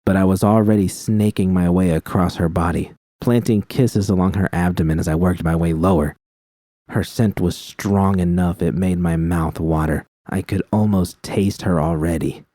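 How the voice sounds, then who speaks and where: A man with a very deep, dark voice reads first-person fan fiction in a measured, steady way. The recording is dry and close, with no room sound. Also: no echo. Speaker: reader.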